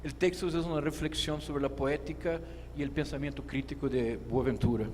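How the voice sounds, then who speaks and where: A man with a Brazilian Portuguese accent, a native Portuguese speaker, reads a formal academic lecture in deliberate, measured phrases. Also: on the high side, fairly even intonation, a little mellow. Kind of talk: formal lecture read from a text. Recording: echoey hall with clear background noise.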